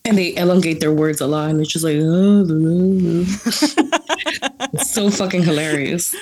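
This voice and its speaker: A young woman from the Bronx, a coffee grader, chatting as a guest in a blunt, profane, animated way and laughing often. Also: fairly low pitch, normal speed. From Bronx, New York. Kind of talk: casual chat.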